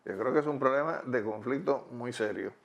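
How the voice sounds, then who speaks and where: A man answering interview questions in long, deliberate, argued sentences. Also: medium pitch, creaky, muffled recording. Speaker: interviewee on fiscal policy. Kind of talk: measured explanatory interview talk.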